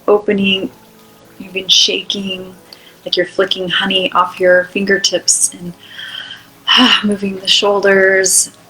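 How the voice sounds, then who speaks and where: A woman leading an unscripted guided meditation in a soothing, unhurried way, with long pauses between short phrases. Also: mid-range voice, little pitch movement, bright, forceful tone, faint music behind, rough recording.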